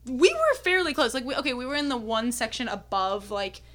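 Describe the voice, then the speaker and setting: Hoarse young woman telling a story. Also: on the high side, sing-song, a little fast, a bit bright. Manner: chatty storytelling, many fillers. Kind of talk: casual chat.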